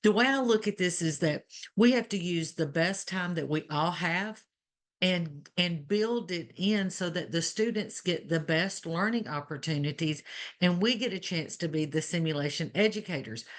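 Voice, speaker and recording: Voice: medium pitch, moderate pace. A speaker with a Southern Alabama accent talks as a simulation nurse educator on a webinar panel. The delivery is earnest and loosely structured, sprinkled with "you know" asides, and it sounds like a video call.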